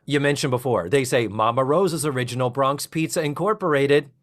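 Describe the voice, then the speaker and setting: A man's voice: mid-range voice, brisk. A man hosting a podcast, recapping an episode in casual chat and playfully acting out the characters' lines. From lives in Central Florida. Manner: animated, acts out lines in raised mock voices. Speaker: podcast host.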